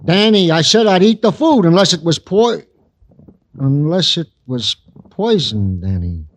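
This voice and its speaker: Dim but earnest American sergeant sidekick, raised pitch, bouncy inflection, vintage radio crime show. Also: male voice, sing-song, a little slow. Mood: insistent. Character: plain-minded, literal, excitable male police sergeant sidekick. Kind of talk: 1940s radio drama acting. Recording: old 1940s radio broadcast.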